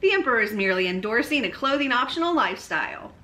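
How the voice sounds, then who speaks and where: A woman voicing a quick-thinking storybook peasant, brisk and insistent in correcting others. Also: a little high, big pitch swings, pushed and bright.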